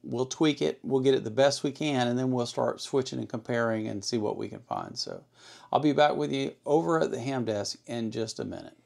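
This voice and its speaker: Gravelly man explaining hands-on. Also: medium pitch, brisk, darkish tone. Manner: explanatory, poses and answers his own questions. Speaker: host of an electronics hobby channel. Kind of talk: casual hands-on demonstration.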